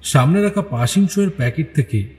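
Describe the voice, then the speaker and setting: A man's voice: on the high side, lively intonation, fairly quick, a little mellow. A man reading scripted, dramatic story narration as the narrator. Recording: long hall reverb.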